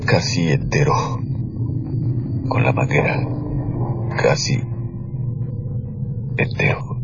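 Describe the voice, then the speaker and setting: Un actor de radionovela que interpreta a un superviviente traumatizado que narra en primera persona, vacilante, buscando las palabras. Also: male voice, very deep voice, big pitch swings, gravelly, muffled recording, heavy background noise, full character voice. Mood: dazed. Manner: halting, searching for words. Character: traumatized male survivor, husband and father, recounting invasion. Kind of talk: radio drama, first-person dramatic narration.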